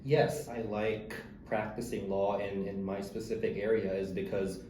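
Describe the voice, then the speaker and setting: A man from the Philippines, talking politely and haltingly as an interview guest. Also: lowish voice, fairly even intonation, warm tone. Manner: hesitant, polite, halting with repeated words. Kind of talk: casual interview talk. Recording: echoey room with clear background noise.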